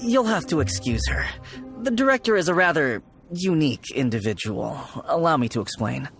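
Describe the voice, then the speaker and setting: Timid young undertaker, swooping pitch. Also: male voice, sing-song, normal speed, creaky, over music. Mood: calm, apologetic. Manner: stammering, formal, jumpy. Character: timid, polite young male rookie undertaker, easily spooked. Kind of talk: video game character dialogue.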